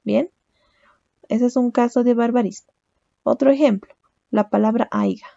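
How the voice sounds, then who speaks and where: A woman's voice: fairly high pitch, fairly even intonation, moderate pace, vocal fry, mellow, dry recording, dull audio. A young woman teaching a language lesson, explaining step by step and often checking with a questioning "¿no?". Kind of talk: recorded lecture.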